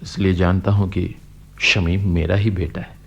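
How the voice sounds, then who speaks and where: An actor playing a kindly, middle-aged father figure in a Hindi radio play, speaking in a gentle, coaxing, philosophical way. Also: low voice, little pitch movement, a little slow, gravelly, highs cut off, heavily acted. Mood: earnest, reassuring.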